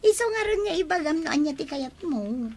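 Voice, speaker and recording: A woman playing an exasperated, easily flustered newlywed wife in an Ilocano-accented radio drama, expressive and complaining. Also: high voice, a little fast. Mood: chatty.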